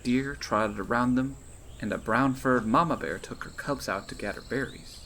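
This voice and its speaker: A man's voice: mid-range voice, normal speed, bright, forceful tone, slight background noise. A man reading his own fantasy novel aloud as scripted audiobook narration.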